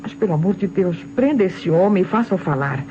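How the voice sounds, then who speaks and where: An actress playing an agitated, defensive wife under police suspicion, with a Brazilian accent, in an old radio detective drama. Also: female voice, on the low side, lively intonation, a little fast, warm tone, close to an everyday voice. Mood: pleading, agitated. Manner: emphatic, protesting. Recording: dull old radio recording, faint noise.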